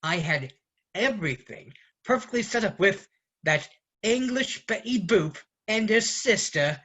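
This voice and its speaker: A man playing an exasperated roommate ranting at his fussy friend in a deliberate theatrical monologue with dramatic pauses. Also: sits high, animated, bright, forceful tone, heavily acted. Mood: berserk, sarcastic. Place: online video call performance.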